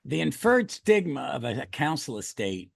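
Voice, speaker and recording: A man's voice: medium pitch, sing-song, normal speed, gravelly, no room sound, studio quality. A man hosting a podcast in casual chat, with informal, choppy phrasing. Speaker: podcast host.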